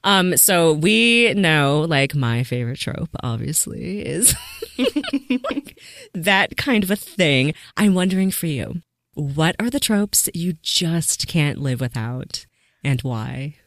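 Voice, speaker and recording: Low-voiced, animated woman. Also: slow, no echo, clean studio sound. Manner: animated, laughing, exclamatory. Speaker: podcast guest. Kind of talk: casual fan chat.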